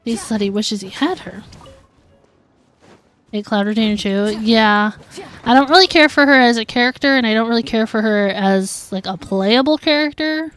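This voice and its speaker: Higher-voiced woman chatting on a game stream. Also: moderate pace, a bit bright, faint music behind. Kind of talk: casual livestream chat while gaming.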